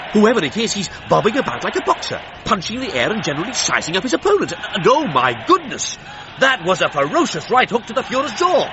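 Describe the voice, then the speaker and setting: 1930s English broadcast commentator: received pronunciation, formal, expressive range, vintage muffled sound. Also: male voice, fairly high pitch, noisy background. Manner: measured, stately, precise, florid. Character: formal 1930s British radio sports commentator.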